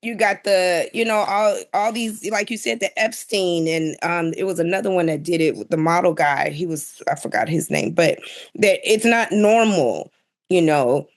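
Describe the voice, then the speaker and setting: A woman's voice: fairly low pitch, normal speed. Outspoken woman in casual panel chat. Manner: animated, outspoken, emphatic, slangy storytelling. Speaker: podcast panelist.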